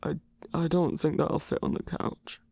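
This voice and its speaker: A man playing a grumpy but tender werewolf lover in human form, speaking hesitantly with sighs and a husky, gravelly voice in an intimate ASMR roleplay. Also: fairly high pitch, little pitch movement, darkish tone, no echo. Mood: bashful, incredulous. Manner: halting, hesitant, sighing, gruffly bashful.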